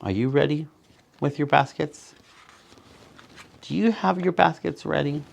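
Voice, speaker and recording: A man reading a picture book aloud to children as a storyteller, with dramatic pauses and asides to his young listeners. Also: fairly high pitch, vocal fry.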